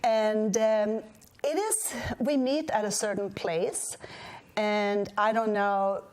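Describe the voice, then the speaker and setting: A woman's voice: medium pitch, steady tone, slow, darkish tone. A woman from Sweden with a slight Swedish accent, hosting a casual chat show and often restarting her sentences. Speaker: host.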